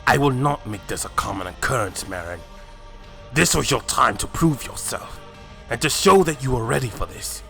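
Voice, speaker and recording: Casting: proud drill-master anime warrior, voiced by a man, harsh, commanding, forceful gravelly tone, faint music underneath. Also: medium pitch, lively intonation, normal speed. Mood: stern. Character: Stern, hot-tempered male Saiyan warrior mentor. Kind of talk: fan-made anime roleplay voice acting.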